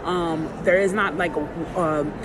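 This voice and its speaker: A woman speaks as an interview guest in a conversational register. She builds her points in measured steps. Clear background noise from the location runs under her voice. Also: fairly low pitch, fairly even intonation. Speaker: author being interviewed. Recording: noisy on-location recording, ambient background sound.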